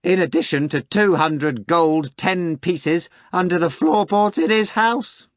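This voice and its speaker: A man's voice: a little high, slow, dry recording, voice pushed up. A man voicing a mocking male demon with a cracked, rotten voice in an audiobook.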